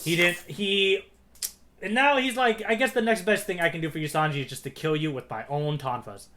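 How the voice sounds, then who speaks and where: A man's voice: a little high, brisk, bright, forceful tone. A man co-hosting a podcast, talking and retelling in a casual, wry, colloquial way. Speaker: podcast co-host, recaps manga chapters.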